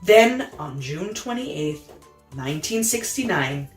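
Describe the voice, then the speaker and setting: Animated low-voiced woman reading a storybook. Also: slow, soft background music. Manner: animated, dramatic, explains the pictures in asides. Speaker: storyteller reading a children's picture book aloud. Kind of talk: expressive storytime reading with picture explanations.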